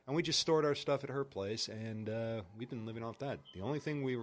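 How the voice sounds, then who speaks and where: A man's voice: medium pitch, a little fast. A man co-hosting a casual podcast, teasing and sarcastic in his banter. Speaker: podcast co-host. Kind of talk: casual chat.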